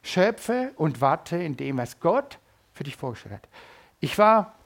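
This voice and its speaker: Middle-aged preacher, rising and falling. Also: male voice, on the high side, sing-song, measured pace, gravelly. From Germany. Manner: dynamic, swings between loud emphasis and quiet asides. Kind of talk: sermon. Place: church service.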